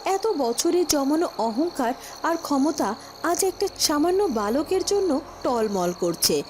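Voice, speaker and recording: A woman's voice: high-pitched, big pitch swings, unhurried, a little hiss or hum. A woman narrating a scripted fairy-tale cartoon story.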